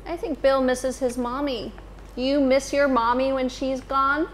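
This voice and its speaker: A woman in her thirties, a teacher, reading a picture book to children in an expressive storytime manner in a school library. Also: sits high, slight background noise. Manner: slow, expressive, addressing children.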